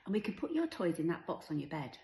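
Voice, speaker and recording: A woman voicing a playful, conspiratorial and gently decisive young mother in a story reading. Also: fairly low pitch, big pitch swings, fast, reverberant. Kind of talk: audiobook character voice.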